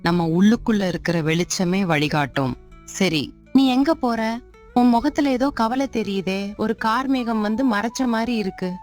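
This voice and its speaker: A woman voicing a calm, wise old grandmother who speaks gently in proverbs, with faint music behind. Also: mid-range voice, measured pace, close to an everyday voice. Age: older.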